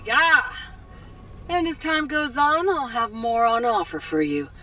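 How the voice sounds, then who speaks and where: An actress playing a shrewd, friendly weapons dealer in a video game, talking in a lilting, slangy, salesmanlike way. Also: female voice, on the high side, unhurried, bright, forceful tone, far from the mic, soft background music. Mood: persuasive, upbeat. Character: shrewd, friendly female weapons dealer, folksy frontier trader.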